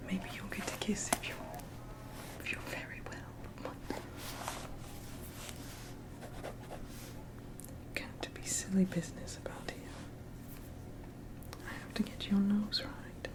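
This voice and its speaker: A tender, teasing sweetheart from the early 1900s acted by a woman in an ASMR roleplay. She speaks mostly in a soft, breathy whisper at a middling pitch. Her manner is gentle and coaxing, with a playfully bossy edge. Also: lightly acted, low-quality recording. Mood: playful, affectionate.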